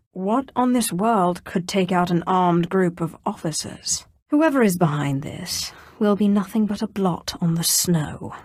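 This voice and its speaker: An actress playing a cold, commanding Sith Inquisitor in a video game, with an imperious, clipped and dryly sardonic delivery. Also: female voice, on the low side, no echo, clean studio sound. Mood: cool, probing. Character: female Sith Inquisitor, cold, commanding, menacing young sorceress. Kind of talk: scripted video game dialogue.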